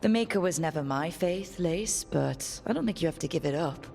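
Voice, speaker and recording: A woman playing a calm, supportive hero in a video game, speaking in a thoughtful, reassuring way. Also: low voice, normal speed, vocal fry, faint music behind. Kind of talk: video game dialogue acting.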